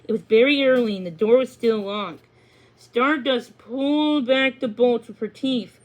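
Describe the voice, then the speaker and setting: Woman w a fairly high voice reading a kids storybook out loud, stumbles on words sometimes. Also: a little slow, rough recording. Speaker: reader of a children's story. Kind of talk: read-aloud storybook narration with acted dialogue.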